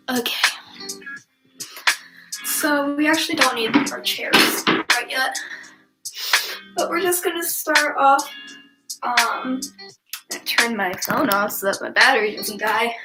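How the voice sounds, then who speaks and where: A woman's voice: high voice, roomy sound, low-quality recording. A young woman with a stuffy, congested nose, chatting casually and rambling as she leads a stretching class from home. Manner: chatty and rambling, many ums, laughs easily. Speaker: stretching instructor. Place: home room, indoors.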